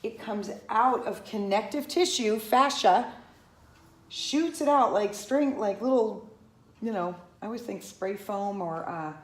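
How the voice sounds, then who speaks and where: Woman, about 50, casual vlog, rambling and chatty, mid-pitched voice, slightly echoey room. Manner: chatty and rambling, with frequent restarts and asides. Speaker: Pilates instructor. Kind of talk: casual talking-to-camera vlog.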